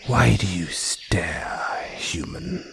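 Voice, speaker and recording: Man playing a slow, gravelly, deep-voiced naga. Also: sing-song, whispered, heavily acted. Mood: suspicious, guarded. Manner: slow, deliberate, hushed delivery with long pauses. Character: wary, territorial male naga, softening into flirtatious care. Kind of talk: intimate audio roleplay acting. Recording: jungle insect ambience behind voice. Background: crickets and jungle ambience.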